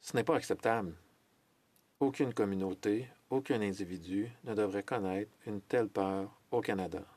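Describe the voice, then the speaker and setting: A man giving a simultaneous interpretation of a formal parliamentary speech, measured, with hesitations and self-corrections. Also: fairly low pitch, darkish tone. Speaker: French interpreter of a Senate speech.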